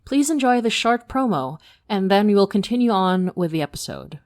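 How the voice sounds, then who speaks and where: A woman's voice: mid-range voice, expressive, moderate pace, darkish tone, dry recording, studio quality. A woman reading scripted announcements as a podcast announcer.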